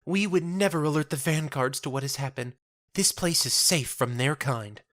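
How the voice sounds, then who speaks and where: A sweetly devout young woman, played by a man in an audio drama, pitched high and softly lilting. Her words are formal and quaintly courteous, and her temper is gentle and earnest. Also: moderate pace, natural voice. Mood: soothing. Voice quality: soft, lilting. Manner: formal, quaintly polite speech.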